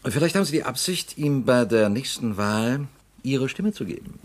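A man playing a scheming politician in a radio drama, smooth and insinuating. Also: mid-range voice, animated, moderate pace, lightly acted. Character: slick scheming male politician, smooth and sly.

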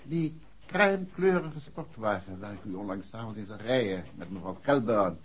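An actor playing a shrewd, courteous detective who puts calm, measured questions in a 1960s radio drama. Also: male voice, fairly low pitch, husky. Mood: dry, insistent. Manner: polite, measured, probing questions. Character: shrewd, courteous male amateur detective, probing calmly. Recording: dull, muffled 1960s radio recording.

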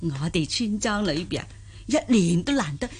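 A woman's voice: lowish voice, animated, normal speed, a little mellow, a little hiss or hum. A woman playing a humble, curious old country granny in a Cantonese audio drama, hesitant and full of simple wonder. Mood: amazed, wistful.

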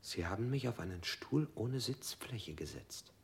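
An actor playing a male prisoner who flatly recounts his interrogation, at times in a whisper. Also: on the low side, measured pace, vocal fry. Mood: numb. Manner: flat, numb recounting, often whispered. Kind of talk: 1960s radio play acting.

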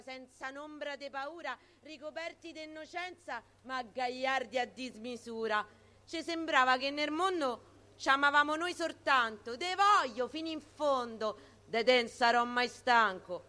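A woman recites dialect verse theatrically in a Roman accent. Her voice is high, with a sharp, bright edge. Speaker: poetry reader.

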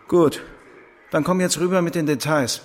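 Male actor as bossy, foul-mouthed burglar, brusque, higher-pitched male voice, radio crime drama. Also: moderate pace, close to an everyday voice. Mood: brusque, impatient. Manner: brusque, domineering.

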